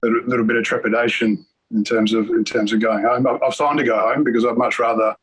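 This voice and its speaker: A man who grew up in Australia telling his story in a measured, reflective way over a video call, with frequent ums. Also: mid-range voice, little pitch movement, fairly bright tone, breathy edge, low-quality recording. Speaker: detainee interviewee.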